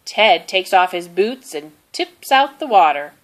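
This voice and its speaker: A woman narrating a children's storybook aloud in an expressive, deliberate way. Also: lowish voice. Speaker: storybook reader.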